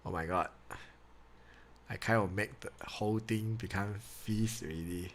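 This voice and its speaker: A man's voice: fairly low pitch, fairly even intonation, unhurried, a bit bright, a little hiss or hum. A young man from Malaysia with a Malaysian English accent, chatting casually with students on a live-stream maths class, laughing often and talking in loose, easygoing grammar. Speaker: online maths tutor.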